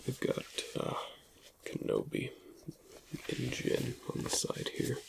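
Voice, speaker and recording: Whispering man with heavy gravelly creak. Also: mid-range voice, a little hiss or hum. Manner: slow, hushed, lingering delivery. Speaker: ASMR roleplay performer. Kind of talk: whispered ASMR roleplay.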